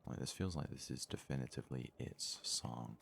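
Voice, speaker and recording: Very deep, gravelly-voiced man, hushed. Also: moderate pace, slight background noise. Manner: casual, musing aloud to himself. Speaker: let's play host. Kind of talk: ASMR gaming commentary. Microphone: close microphone.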